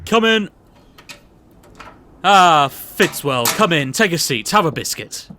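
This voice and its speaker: A man playing a genial, pompous boss of a shady petting zoo in a comic audio drama, with theatrical, drawn-out phrasing. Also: on the high side, very animated, sharp tone, a little hiss or hum, pitched above the performer's own voice, heavily acted. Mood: jovial, expansive.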